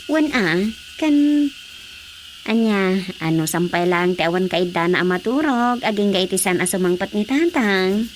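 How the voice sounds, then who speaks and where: A woman's voice: medium pitch, expressive, moderate pace, a little hiss or hum, natural voice. A woman voicing a hesitant, questioning young village woman in a radio drama.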